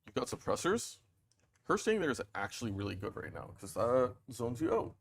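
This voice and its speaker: A man playing a tabletop wargame, casually talking through his moves and changing his mind out loud. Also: mid-range voice, very animated, creaky. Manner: quick agreeing replies, second-guesses his moves aloud.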